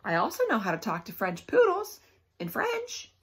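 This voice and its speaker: A woman teacher reads a children's book aloud expressively, pausing often for emphasis and to put questions to young listeners. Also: on the high side, huge pitch swings, fairly bright tone, slightly rough.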